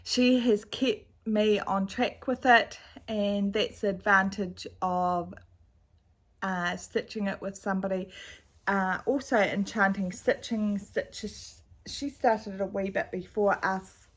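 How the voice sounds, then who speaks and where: A middle-aged woman with a slightly croaky voice, chatting casually at home about her cross-stitch projects with a rambling, chatty manner, recorded on a camera's built-in mic. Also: medium pitch, fairly even intonation, takes it slowly. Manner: chatty, rambling, with fillers and asides. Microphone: camera's built-in mic, no external microphone.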